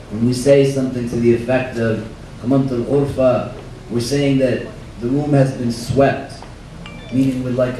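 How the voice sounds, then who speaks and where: Hoarse imam in an echoey hall. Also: male voice, mid-range voice, darkish tone. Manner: measured, reflective, quotes Arabic scripture. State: hoarse, losing his voice. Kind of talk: religious talk at a dinner. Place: banquet hall. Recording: roomy far-off hall recording with faint noise.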